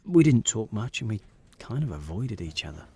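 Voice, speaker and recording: A man's voice: on the low side, very animated, normal speed, heavy background noise. An actor playing a troubled, conscientious man stuck in a dead-end job, in an audio drama with first-person narration. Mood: subdued, reflective.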